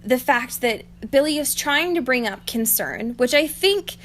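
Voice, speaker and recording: A woman's voice: high voice, lively intonation, a little slow, a bit bright. A woman co-hosting a podcast in casual banter, giggly and sarcastic, given to exclaiming. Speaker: podcast co-host. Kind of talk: casual chat, book recap banter.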